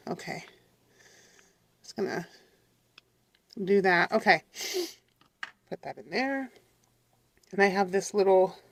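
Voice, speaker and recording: A middle-aged woman with a raspy, congested voice from a cold, chatting casually to viewers as she works through a craft tutorial and keeps apologizing. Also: mid-range voice, no echo. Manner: chatty, apologetic, talks to viewers while working. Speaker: craft channel host.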